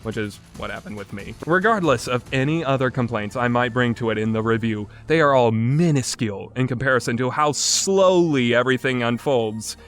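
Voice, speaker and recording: A man delivers an opinionated video game review with lively, swinging intonation. He is blunt and sarcastic, leaning hard on his points. His pitch is mid-range for a male voice, and music plays behind him. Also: fairly quick, few pauses.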